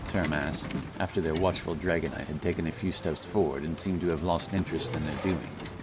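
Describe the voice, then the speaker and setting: A man narrating a fantasy audiobook in a measured, solemn storytelling manner. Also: rumbling low, fairly even intonation, heavy background noise. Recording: loud music behind the voice. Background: loud music.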